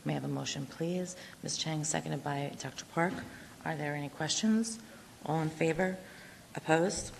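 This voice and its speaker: Chairwoman, low gravelly voice, going hoarse, brisk procedural reads, echoey hall with faint hiss. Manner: brisk procedural reading of agenda items. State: losing her voice, coughing. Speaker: school board president chairing a meeting. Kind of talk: formal meeting procedure. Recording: reverberant hall with faint noise.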